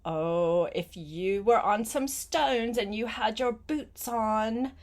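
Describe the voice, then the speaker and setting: An older woman, a grandmother, reads a picture book aloud to a young child, gently adding simple asides and questions. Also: a little high, slow pace. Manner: gentle, simple child-directed talk with questions and short phrases.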